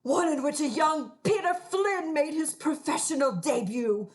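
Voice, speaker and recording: A woman's voice: sits high, animated, unhurried. A woman playing a melodramatic, vengeful scorned ex-wife in a radio play, with haughty, theatrical delivery. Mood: haughty, bitter. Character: vengeful, melodramatic scorned ex-wife posing as respectable society lady.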